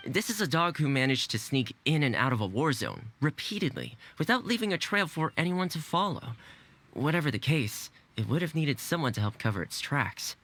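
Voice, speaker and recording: A man playing a friendly, easygoing, caring young man in a video game, amiable and gently joking. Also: fairly high pitch, very animated, fairly quick, full character voice. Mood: musing.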